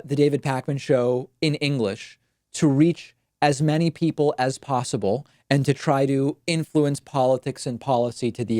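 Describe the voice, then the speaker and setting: A political commentary host, a man who grew up in Argentina with Spanish as his first language. He talks through ideas in a measured, methodical way, laying out the pros and cons. His voice sits at an ordinary middle pitch and is recorded dry and close. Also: dark tone, no room sound. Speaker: political talk show host.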